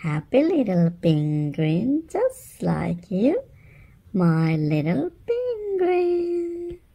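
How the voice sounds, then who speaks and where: A woman hosts a read-aloud for very young children. She speaks very slowly, with a soft, mellow tone and some creak. Her delivery is sing-song and repetitive, as if talking straight to toddlers. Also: mid-range voice, huge pitch swings. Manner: sing-song, repeating words playfully, addressing small children. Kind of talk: picture-book read-aloud for babies and toddlers.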